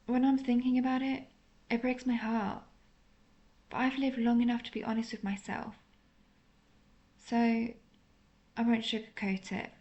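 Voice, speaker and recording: A woman playing a lovesick, apologetic female vampire in an intimate audio roleplay, speaking in a hesitant, confessional, softly melancholic way. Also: a little high, little pitch movement, unhurried, pauses often, lo-fi sound. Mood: vulnerable, melancholic.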